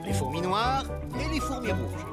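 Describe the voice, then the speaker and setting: A woman's voice: a little high, big pitch swings, normal speed. A woman playing an earnest, pompous father who teaches children about nature in a cartoon, with a hesitant, exclamatory delivery. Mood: eager, didactic. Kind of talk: French animated cartoon acting. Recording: music behind the voice.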